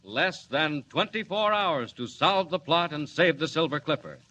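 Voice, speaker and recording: A man's voice: a little high, animated, unhurried. A man announcing a 1940s radio serial in a dramatic, urgent manner. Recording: old 1940s radio recording, faint noise.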